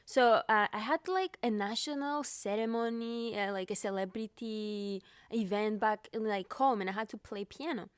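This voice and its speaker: Young Greek Cypriot woman, halting speech. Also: mid-range voice, clean tone, no echo. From Cyprus. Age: young adult. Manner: hesitant, many fillers and restarts. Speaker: pianist guest. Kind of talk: podcast interview.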